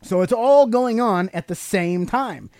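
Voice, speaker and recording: A man's voice: high-pitched, unhurried, darkish tone. A middle-aged man co-hosting a podcast, explaining things casually to a child in a teacherly way with leading questions. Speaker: podcast co-host and father explaining to his child.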